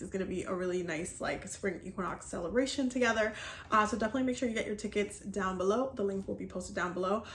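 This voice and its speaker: A woman's voice: medium pitch, fairly bright tone. A woman from the Dominican Republic talking casually to her viewers as a herbalism and energy healing teacher, chatty and upbeat with lots of fillers and little laughs. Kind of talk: casual video chat to viewers. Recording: echoey room.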